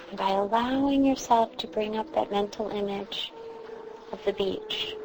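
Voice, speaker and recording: Female meditation guide, very unhurried and soothing, reassuring delivery with long pauses, noticeable ambient noise. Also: mid-range voice. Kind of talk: guided meditation, instructing a listener. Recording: clearly noisy background ambience.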